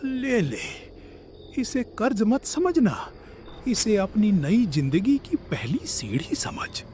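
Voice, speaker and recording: A man voicing a kindly, solemn old village elder in a cartoon moral story, earnest and deliberate, often breathy. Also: high-pitched, big pitch swings, a little mellow, slight background noise, full character voice. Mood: kindly, grave. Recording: music behind the voice.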